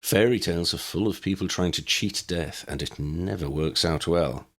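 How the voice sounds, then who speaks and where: A man voicing a calm, courteous old man in an audiobook, speaking in a measured, formal and unruffled way. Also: low-pitched. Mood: gently reassuring. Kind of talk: audiobook character voice.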